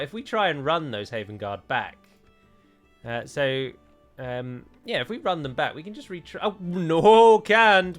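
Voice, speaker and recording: A man's voice: a little high, fairly bright tone. A man giving casual gameplay commentary, chatty and self-mocking, with jokey asides. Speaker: let's play host.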